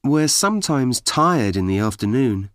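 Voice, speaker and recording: A man reading example sentences for language learners, deliberate and evenly paced. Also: medium pitch, very animated, a bit bright, dry recording, clean studio sound. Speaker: course audio speaker. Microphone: dry studio mic.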